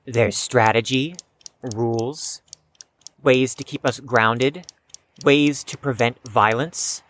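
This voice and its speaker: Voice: medium pitch, fairly even intonation, takes it slowly, fairly bright tone. An actor playing a reserved, defensive young chess prodigy in an audio drama, with a guarded, precise, slightly stiff delivery. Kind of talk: scripted audio drama acting.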